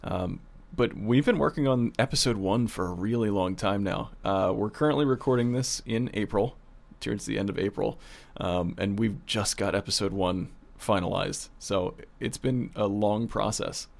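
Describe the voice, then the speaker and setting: A man's voice: fairly low pitch, moderate pace, vocal fry. A man co-hosting as a producer, asking questions and chatting in a casual, conversational interview style.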